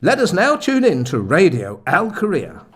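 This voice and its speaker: An actor playing an earnest, excitable village man and narrator in a scripted comedy radio play, with a theatrical manner. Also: a little high, moderate pace. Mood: grandly narrating.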